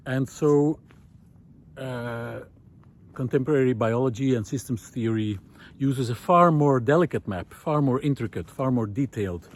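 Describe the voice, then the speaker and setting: A man's voice: mid-range voice, a little mellow. Man musing aloud on a forest walk. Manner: thoughtful, many pauses and fillers, rising emphasis on key points. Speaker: nature video essayist. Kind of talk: reflective walking monologue. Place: forest path outdoors.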